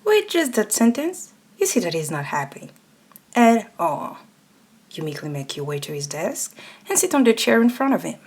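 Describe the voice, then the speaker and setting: A woman's voice: medium pitch, sing-song, normal speed, darkish tone, husky. A woman reading a scripted second-person ASMR story as its narrator. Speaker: narrator and voice actor.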